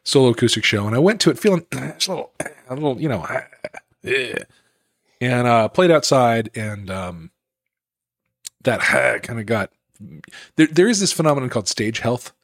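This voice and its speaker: A man co-hosting a podcast, husky from a sore throat, chatting casually and joking at his own expense. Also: medium pitch, animated, moderate pace, no echo, studio quality. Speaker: podcast co-host and musician.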